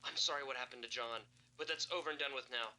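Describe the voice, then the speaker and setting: Actor as urgent, fast-talking park ranger man. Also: mid-range voice, steady tone, pushed and bright, rough recording. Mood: urgent, pleading. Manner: urgent, commanding. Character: park ranger man, urgent, stern, protective, secretly fearful. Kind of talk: found-footage horror acting.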